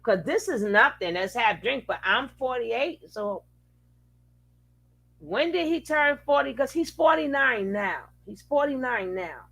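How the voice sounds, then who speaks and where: A woman comments casually on a live stream, skeptical and wry, laughing as she picks things apart. Her voice is bright and a bit high, with a gravelly fry underneath. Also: expressive, measured pace.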